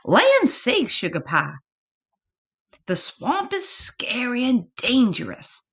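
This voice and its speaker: Mother possum, acted by a woman, storybook, very animated, unhurried, affectionate, cautionary. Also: fairly high pitch, slightly rough, pitched above the performer's own voice, full character voice. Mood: alarmed warning. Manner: affectionate, warning, dramatic. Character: doting, cautionary Southern mama possum.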